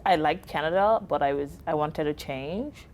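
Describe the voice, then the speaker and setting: A woman's voice: low voice, moderate pace, a little mellow, a little hiss or hum. A young woman from Nigeria, a student guest in an interview, answering in a composed, articulate and dryly witty way. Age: young adult.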